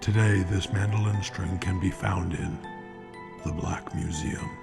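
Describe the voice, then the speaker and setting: An actor playing a sardonic, ominous narrator of true-crime murder tales in an old-time radio mystery style, with dramatic pauses. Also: male voice, deep voice, steady tone, creaky, loud music behind the voice, heavily acted. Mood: grim, ominous.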